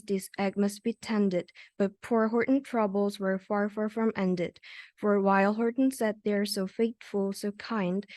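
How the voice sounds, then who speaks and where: Young female storybook reader, student, mid pitch, close dry recording. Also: a little mellow, no echo. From South Korea. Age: young adult. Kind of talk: reading a rhyming children's storybook aloud.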